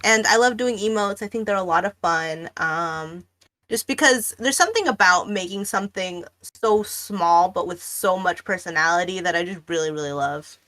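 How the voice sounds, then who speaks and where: A woman's voice: lowish voice, normal speed, a bit bright. A woman in her late twenties, a guest artist chatting casually on a stream in a rambling way, full of fillers and restarts. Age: 20s. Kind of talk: casual chat.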